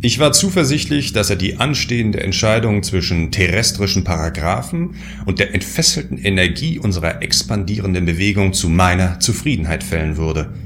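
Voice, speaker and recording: Man as a low-voiced, grandiose sect leader. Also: animated, a little fast, hardly any pauses, music in the background. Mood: solemn, visionary. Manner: self-assured, solemn, lofty. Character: confident, grandiose male sect leader and space settler. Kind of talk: radio play dialogue and first-person narration.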